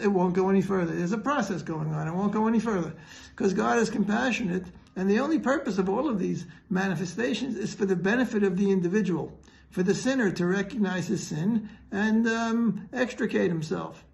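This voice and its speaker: High-pitched, creaky-voiced man teaching religion. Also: muffled recording. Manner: earnest, didactic, halting mid-sentence. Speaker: rabbi giving a Torah lesson. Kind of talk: religious teaching, unscripted explanatory talk.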